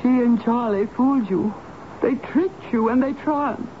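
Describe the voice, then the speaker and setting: A woman's voice: a little high, a little slow, a little hiss or hum, close to an everyday voice. An actress playing a grieving, defiant woman in a radio drama, fervent, dreamy and theatrical. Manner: emphatic, theatrical. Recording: muffled old radio broadcast.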